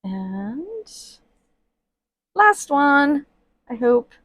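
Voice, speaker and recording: A woman talking slowly and chattily as she works at her table, full of ums, her voice often dropping to a murmur. She sniffles now and then from allergies. The sound is dry and close, with no room echo. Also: sits high, big pitch swings. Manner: chatty, many fillers, quiet and murmured while working. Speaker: reborn doll artist. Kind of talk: casual crafting tutorial vlog. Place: indoors at a worktable.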